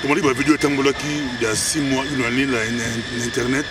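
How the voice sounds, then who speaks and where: Hesitant Congolese interviewer in street noise. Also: male voice, medium pitch, fairly even intonation, a little fast. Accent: Congolese French. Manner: hesitant, many fillers, mixes in Lingala. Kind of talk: halting questions. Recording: distant mic with loud traffic noise outdoors.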